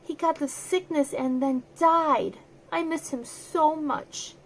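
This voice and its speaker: Woman as a sad little lion cub, very high. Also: fairly even intonation, slow pace, low-quality recording. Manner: plaintive, childlike, earnest. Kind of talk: children's storybook read-aloud.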